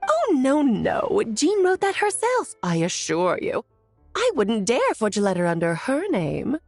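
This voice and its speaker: A woman's voice: a little high, wildly swooping pitch, a little slow, gravelly, darkish tone, no echo, faint music behind, exaggerated voice. Playful, theatrical, swooping witch mother. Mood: mock dramatic. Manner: theatrical, genteel exclamations. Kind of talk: animated video game dialogue.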